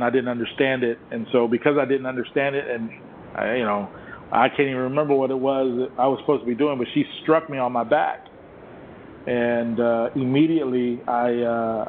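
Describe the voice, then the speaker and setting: A man's voice: medium pitch, a little hiss or hum. Man on a muffled phone line. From Puerto Rico. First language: Spanish. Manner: halting, repeats words often. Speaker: host. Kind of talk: interview conversation.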